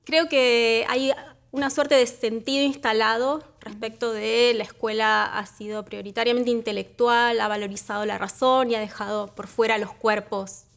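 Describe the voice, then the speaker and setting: A woman from Argentina with an Argentine accent, speaking as an academic researcher in a measured, reflective conversation with frequent pauses. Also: fairly high pitch, steady tone, creaky, a bit bright. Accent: Argentine Spanish.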